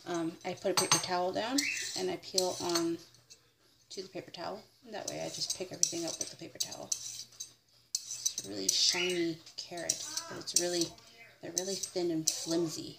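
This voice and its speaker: A woman's voice: lowish voice, vocal fry. A young American woman chattily talking through a casual cooking demonstration, step by step, in a home kitchen. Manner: chatty, informal, narrating each step. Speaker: home cook, vlogger. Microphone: fixed camera on the counter. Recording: very noisy home kitchen recording with clatter. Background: kitchen clatter, people in the background.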